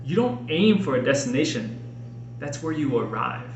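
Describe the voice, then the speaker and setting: A man in his late thirties reading his own personal writing aloud, earnestly and emphatically, with dramatic pauses. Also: medium pitch, sing-song, highs cut off. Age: late 30s. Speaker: author and podcast host reading own book. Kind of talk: expressive audiobook-style personal narration. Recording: roomy echo, faint background noise.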